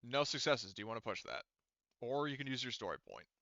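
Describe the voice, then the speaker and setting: Bright, clear-voiced man as game master. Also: medium pitch, sing-song, brisk, no room sound, muffled recording. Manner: informal, swearing, explanatory. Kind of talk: narrating and refereeing a tabletop roleplaying game, casual.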